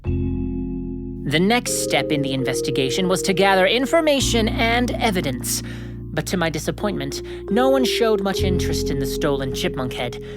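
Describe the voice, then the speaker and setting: A woman's voice: on the low side, very animated, normal speed, loud music behind the voice. A woman playing an earnest, self-important middle-school detective in an audio drama, with formal, precise and rather pompous wording. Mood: dramatic narration.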